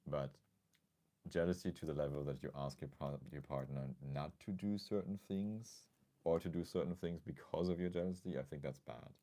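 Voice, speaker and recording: Male livestream host, extremely low mellow voice, a bit scratchy, casual and thoughtful. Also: normal speed. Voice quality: slightly scratchy.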